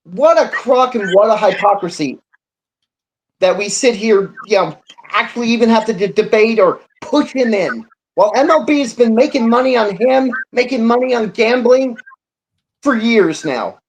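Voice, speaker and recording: A man's voice: extremely high pitch, animated, moderate pace, rough recording. A man speaks as co-host of a casual sports discussion. He states his opinions with emphasis and some indignation. His delivery is conversational, with small asides along the way. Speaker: sports talk show co-host.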